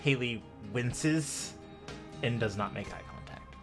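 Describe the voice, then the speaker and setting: Slow-paced man narrating as game master. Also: mid-range voice, a bit bright, soft background music. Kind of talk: narrating a tabletop roleplaying game.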